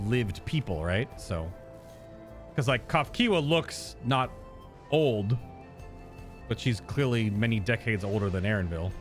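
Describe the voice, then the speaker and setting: A man giving casual live reaction commentary as a game streamer, rambling and thinking aloud. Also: on the low side. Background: game music.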